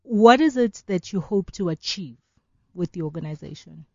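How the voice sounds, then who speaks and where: A woman's voice: lowish voice, animated, moderate pace, warm tone, no room sound, muffled recording. A woman hosting a radio show, asking her guests short, probing questions. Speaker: radio host. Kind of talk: interviewing guests.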